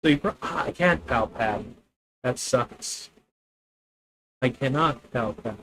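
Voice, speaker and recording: A young man with a Filipino accent streaming a card game, thinking out loud about his moves, correcting himself and talking to his viewers. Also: mid-range voice, animated, takes it slowly, slight background noise. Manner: thinking aloud, self-correcting, addresses viewers. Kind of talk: live gameplay commentary.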